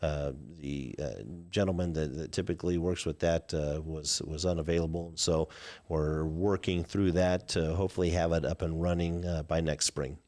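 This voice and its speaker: A man in his fifties, a Midwestern American mayor answering live-chat questions, pausing often with "uh" and "um" as he thinks. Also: low voice, fairly even intonation, a little mellow. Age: 50s. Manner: halting, many "uh" and "um" fillers. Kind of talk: live call-in Q&A.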